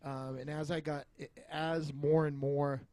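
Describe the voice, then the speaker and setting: A man chatting casually as a podcast film reviewer, feeling his way through his points in halting phrases. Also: on the high side, little pitch movement, a little mellow, no echo. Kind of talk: casual chat.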